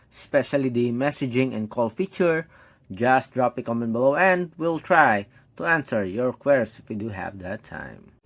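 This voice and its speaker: A man giving an unscripted, step-by-step hands-on product demo, thinking aloud as he tests. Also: medium pitch. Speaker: tech product reviewer.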